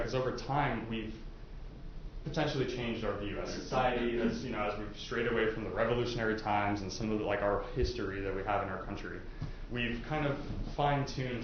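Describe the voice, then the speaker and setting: A man speaking as a prosecutor questioning prospective jurors in a chatty, informal way, using everyday examples. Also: on the low side. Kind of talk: informal courtroom jury questioning. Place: courtroom. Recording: echoey, muffled, noisy courtroom recording.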